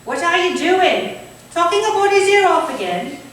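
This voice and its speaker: An actress playing a bossy Victorian landlady in a staged reading, calling out in a sharp, commanding manner. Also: very high voice, sing-song, moderate pace, full character voice. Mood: scolding. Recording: echoey, distant, faint noise.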